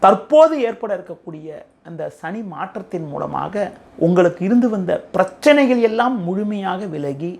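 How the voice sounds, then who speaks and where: A man with a Tamil Nadu accent presenting an astrology forecast to viewers in a formal, measured, explanatory way. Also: sits high, big pitch swings, creaky, a little mellow.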